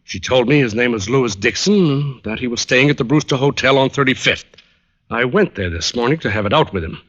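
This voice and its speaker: A wealthy, proper gentleman client in a vintage radio play. His voice is medium-pitched and measured, politely formal but determined, on a muffled old recording. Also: animated. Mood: grave, resolute. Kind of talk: old-time radio drama acting.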